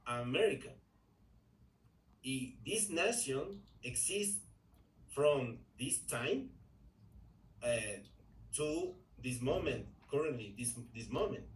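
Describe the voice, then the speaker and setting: Slow hesitant Ecuadorian man, distant and roomy. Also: medium pitch. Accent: Ecuadorian Spanish. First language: Spanish. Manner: hesitant, many fillers and restarts. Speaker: English learner. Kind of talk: halting conversation in English class. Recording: echoey room, distant mic, faint noise.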